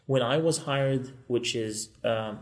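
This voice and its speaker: A man from Morocco, a law school guest, speaking quickly and earnestly in a podcast interview. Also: mid-range voice.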